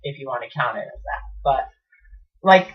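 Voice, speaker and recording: A man's voice: medium pitch, lively intonation, moderate pace. A young man co-hosting a casual chat show, talking frankly and mocking himself. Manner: frank, blunt and self-mocking. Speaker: co-host. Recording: distant mic, dull lo-fi sound.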